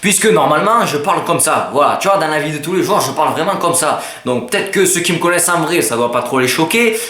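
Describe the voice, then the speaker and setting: A man's voice: on the high side, fast, echoey room. Joking teenage boy with a Southern French accent. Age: teens. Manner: joking, self-mocking, lively banter. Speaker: YouTuber. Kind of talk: casual vlog to camera.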